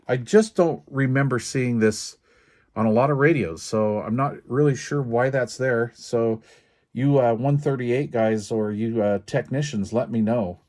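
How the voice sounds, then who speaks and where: A man with an average-pitched voice talks through hands-on repair work. He is informal and folksy, thinking aloud as he goes. His delivery is halting and casual, like an unscripted demonstration. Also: a little mellow. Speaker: radio repair technician and video host. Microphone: handheld camera while working.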